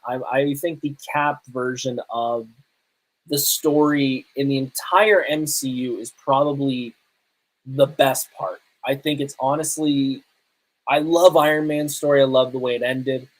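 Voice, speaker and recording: A man's voice: medium pitch, a little slow, rough recording. Enthusiastic man hosting a podcast. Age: 30s. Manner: conversational, enthusiastic, emphatic. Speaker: podcast host. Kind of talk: casual solo podcast chat on pop culture and games.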